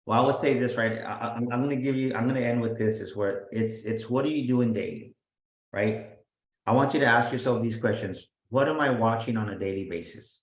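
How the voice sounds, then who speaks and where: A man talking as a guest business coach, energetic and emphatic, often restarting and repeating his words. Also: medium pitch, brisk. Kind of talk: motivational interview talk. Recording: roomy echo.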